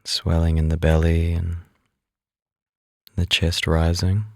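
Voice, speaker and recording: A man leading a guided meditation as an instructor, speaking in a calm, unhurried, instructive way. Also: low-pitched, vocal fry, dry recording, clean studio sound.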